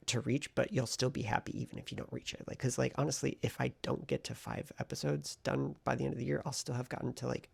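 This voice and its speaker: Male vlogger, heavy vocal fry and some rasp, informal and rambling, thinking aloud. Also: medium pitch. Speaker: vlogger and hobby novelist. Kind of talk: casual writing vlog chat.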